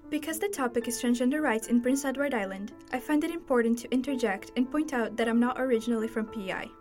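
Soft-spoken woman hosting a casual chat. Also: fairly high pitch, fast talker, soft background music. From Brazil. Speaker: podcast host.

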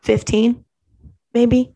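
A woman's voice: on the high side, flat delivery, creaky, warm tone. A woman in her twenties hosting a podcast, chatting casually and teasing her guest with frequent laughter. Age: 20s. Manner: teasing, giggly, quick to interrupt. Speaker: podcast host.

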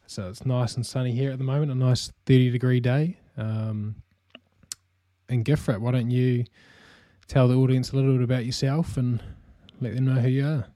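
A young adult man with a New Zealand accent, co-hosting a casual podcast chat in a relaxed way, filling pauses with "um" and laughing easily. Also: mid-range voice, dry recording, studio quality. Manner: relaxed, many "um" fillers, easy laughter. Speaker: podcast co-host.

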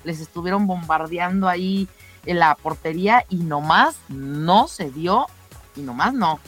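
A woman's voice: on the low side, unhurried. A woman co-hosting a radio show, giving sports news commentary in a conversational, emphatic way. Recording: music bed under the voice. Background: music.

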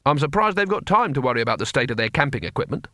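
A man's voice: mid-range voice, big pitch swings, racing, sharp tone, dry recording, clean studio sound, voice pushed up. A man voicing a nervous, doubtful wizard in an audiobook story, hesitant and wryly sarcastic as he questions things. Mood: uneasy, sarcastic.

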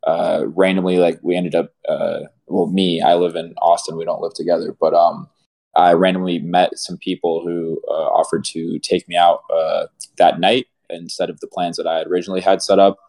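A man's voice: low-pitched, flat delivery, moderate pace, darkish tone, rough recording. A man in his mid-twenties chatting as a podcast co-host, hesitant with many fillers. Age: 20s. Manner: hesitant, lots of likes and ums. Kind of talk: casual chat.

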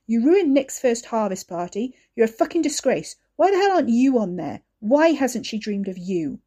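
A woman reading an audiobook, voicing a protective young man who shouts angry accusations. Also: medium pitch, big pitch swings, normal speed, breathy edge. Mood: furious.

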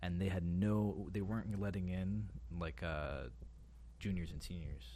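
Deep-voiced man in his late twenties. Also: steady tone, unhurried, dark tone. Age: late 20s. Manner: rambling, self-interrupting. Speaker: podcast co-host. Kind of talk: casual chat.

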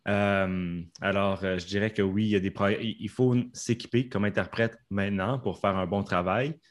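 A man's voice: lowish voice, steady tone, moderate pace. A man from Canada with a Canadian French accent, an interpreter talking in a podcast interview from his home studio, hesitant with many fillers and restarts.

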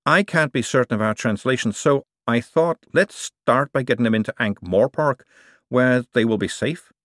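A man voicing a brisk, stubborn, self-assured woman who explains things insistently in an audiobook story. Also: medium pitch, fairly bright tone, no echo, clean studio sound, voice pushed up, natural voice. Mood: careful, defensive.

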